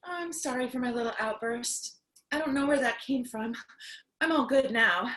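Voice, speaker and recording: A woman playing a lonely, chatty, excitable apocalypse survivor in a theatrical comic staged reading. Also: fairly high pitch, normal speed, lightly acted. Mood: excited, chatty. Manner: theatrical, chatty, swings between outbursts and cheer.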